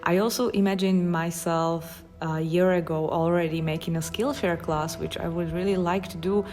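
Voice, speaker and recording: A woman with a Slovak accent, a watercolor artist chatting casually while she paints, in a halting, thoughtful way with frequent pauses. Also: lowish voice, fairly even intonation, a little mellow, soft background music.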